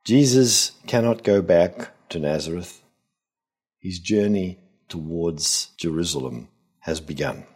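An older man, a priest, giving a Gospel homily in measured, deliberate phrases with pauses. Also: sits low, lively intonation, a little mellow, breathy edge. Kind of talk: homily, expository reflection.

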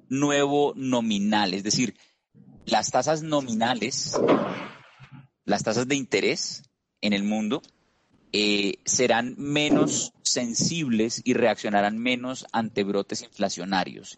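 A Colombian man giving a measured market analysis as an interview guest, with frequent "eh" fillers and restarts. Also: fairly low pitch. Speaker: investment strategist guest.

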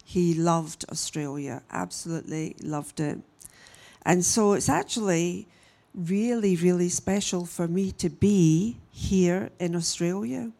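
A woman, giving a church message as a missionary and telling her story in a halting, unhurried way with frequent pauses. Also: lowish voice, creaky, warm tone. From Scotland. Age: 60s. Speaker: guest speaker, missionary. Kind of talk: church message, personal testimony.

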